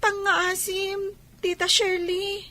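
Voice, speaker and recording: An actress with an Ilocano accent playing a sorrowful, earnest young daughter, imploring in a radio drama. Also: female voice, very high voice, flat delivery, sharp tone, exaggerated voice. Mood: pleading. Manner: imploring, drawn-out.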